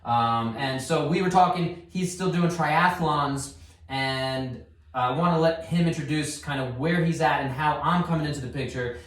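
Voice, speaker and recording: A man's voice: fairly high pitch, husky. A male clinician talking casually to camera from his garage, explaining an assessment in a chatty, rambling way. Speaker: physical therapist. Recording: roomy echo.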